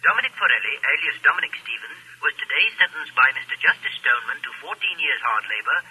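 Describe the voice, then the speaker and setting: Brisk, rough-edged radio newsreader. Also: male voice, medium pitch, lively intonation, sharp tone, exaggerated voice, poor audio. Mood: official. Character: formal, official radio news announcer. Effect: heard through a radio set. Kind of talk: formal 1940s news reading.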